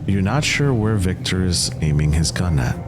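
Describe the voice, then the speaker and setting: Deep-voiced man narrating tabletop roleplay over music. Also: animated, normal speed. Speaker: game master. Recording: music under the voice.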